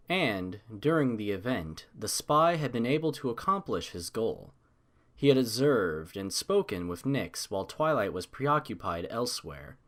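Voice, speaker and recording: A man narrates an audiobook from a script. His pitch sits in the middle, with lively, animated intonation through the storytelling.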